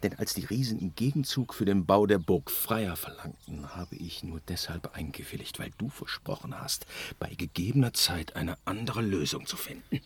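An actor playing a proud, impatient chief god in a fantasy radio drama, grave and authoritative with exasperation. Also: male voice, deep voice, expressive, moderate pace, slight background noise. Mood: stern, impatient.

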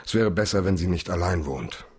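An actor playing a cool, worldly private detective in a radio drama, laconic, flippant and casually familiar. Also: male voice, deep voice, a little fast, a little mellow, breathy edge. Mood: probing, businesslike.

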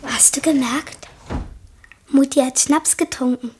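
Very high-voiced little schoolgirl. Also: a little slow, background noise. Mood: curious. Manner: childlike, eager, matter-of-fact tattling. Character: little schoolgirl, chatty tattling younger sister. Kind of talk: radio play acting.

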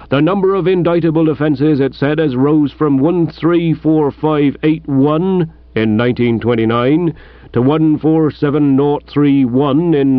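A man voicing a ponderous, aggrieved village police sergeant in a comic audiobook, with a British accent and a dark, slow manner. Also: mid-range voice, dry recording, narrow, tinny audio, lightly acted. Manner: slow, dark, uneducated grammar.

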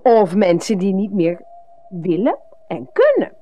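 A woman's voice: medium pitch, wildly swooping pitch, a little slow, dark tone. An actress playing a fussy, dramatic, complaining family woman in a radio play, with theatrical exclamations. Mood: insistent.

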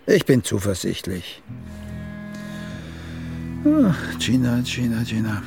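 An actor playing a vain, fussy older playwright in a radio play, speaking with sardonic, theatrical flourish. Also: male voice, mid-range voice, big pitch swings, slow pace, gravelly, music in the background, exaggerated voice. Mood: wistful, courtly.

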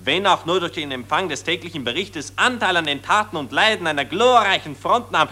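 An actor playing a pompous, patriotic optimist who lectures in a solemn, high-flown way. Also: male voice, expressive, brisk, bright, forceful tone, heavily acted. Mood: lofty.

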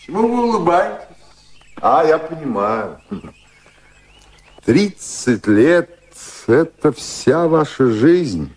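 An actor playing a dignified, ardent British colonial official in his fifties in a Russian radio drama, courtly and deliberate, with a husky voice. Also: on the high side, big pitch swings, a little mellow, exaggerated voice. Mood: courting, wistful.

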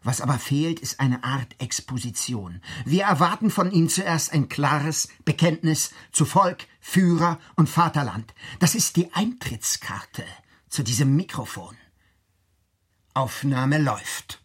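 An actor playing a stern, condescending Nazi-era state radio official with a husky voice and formal delivery in a radio play. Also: male voice, a little high, expressive, measured pace. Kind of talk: German radio play acting.

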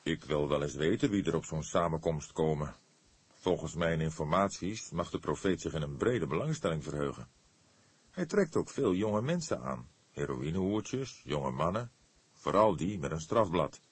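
A seasoned senior police detective from an audiobook, played by a male reader. The voice is low and husky, measured and patient, earnest in explaining things. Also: animated, no echo, heavily acted. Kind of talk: audiobook character dialogue.

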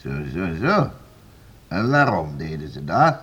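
A man's voice: low voice, sing-song, a little slow, fairly bright tone, slightly rough, highs cut off, full character voice. An actor playing a stern, domineering nobleman in a period radio play, curt, impatient and commanding. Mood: insistent. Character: stern, domineering nobleman interrogating servants for the crown. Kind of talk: period radio play acting in formal Dutch.

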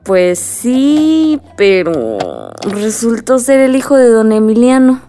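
An actress playing a spirited young Mexican village woman in a radio drama, expressive and colloquial. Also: on the high side, slow pace, faint music behind. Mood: chatty.

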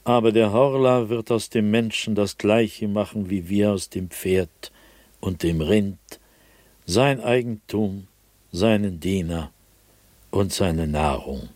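An actor playing a tormented, desperate man obsessed with an unseen being, in a theatrical, halting German radio drama monologue. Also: lowish voice, a little mellow, no echo. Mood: grim resolve. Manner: halting, repetitive, dramatic, with anguished emphasis.

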